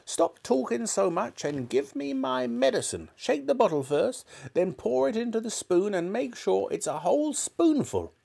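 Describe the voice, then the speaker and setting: A man's voice: sing-song, moderate pace, creaky, few pauses, warm tone, breathy edge, heavily acted. A man voicing a nasty, grumpy old grandmother who screeches and snaps spitefully. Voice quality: shrill screeching. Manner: snapping, spiteful, scolding.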